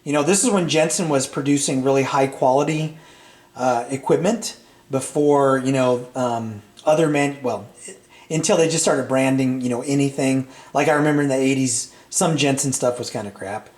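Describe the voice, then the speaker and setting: A middle-aged man chatting casually and unscripted as a video host, in a halting, rambling way with hesitant phrasing. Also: mid-range voice, a little mellow. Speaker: vintage audio repair channel host. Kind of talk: casual unscripted product chat. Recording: roomy, echoey sound.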